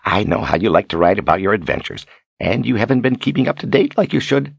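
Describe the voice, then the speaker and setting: A man's voice: on the low side, expressive, quick pace, creaky, breathy edge, dry recording, dull audio, voice pushed up, heavily acted. A man voicing a boastful, sly old wizard who talks in a folksy, grandiose way, as in an audiobook. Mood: indulgent, boastful. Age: older. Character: theatrical, boastful, sly old wizard posing as fortune-teller. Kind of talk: audiobook character acting.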